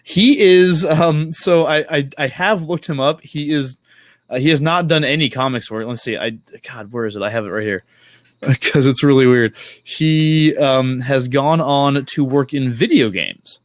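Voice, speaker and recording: Man, podcast host, casual chat, halting and rambling, self-correcting, offhand swearing, ordinary mid-range pitch. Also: no echo.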